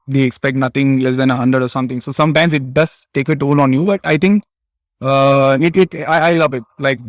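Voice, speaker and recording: This is a young man with a Tamil-region Indian English accent, speaking at a middle pitch for a man. He answers interview questions in a halting, casual way, full of "uh" and "like" and restarting his sentences. The sound is dry and close. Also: no echo. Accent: Indian English, Tamil Nadu. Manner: hesitant, many restarts and fillers. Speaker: interview guest, cricket player.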